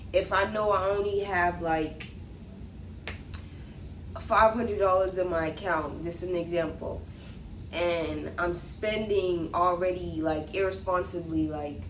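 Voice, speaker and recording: A woman's voice: fairly low pitch, reverberant, background noise. A young woman with a sniffly cold chatting casually as she answers viewer questions, with lots of likes and ums. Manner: relaxed, unhurried, many likes and ums, reads questions aloud. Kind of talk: casual Q&A chat.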